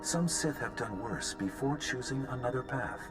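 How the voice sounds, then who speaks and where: Game casting: male actor as a patient sage creature, formal, measured, mid-pitched, prominent music underneath. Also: animated, natural voice. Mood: patient, grave. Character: wise patient male mutant creature, gentle Jedi teacher. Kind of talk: video game cutscene acting. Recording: music behind the voice.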